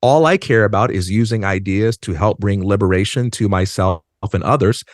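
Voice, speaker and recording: A man's voice: lowish voice, dry recording. Earnest man, dry studio sound. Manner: earnest, measured, slows down for emphasis. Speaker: podcast guest. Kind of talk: reflective conversation. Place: dark studio. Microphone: studio mic on a stand.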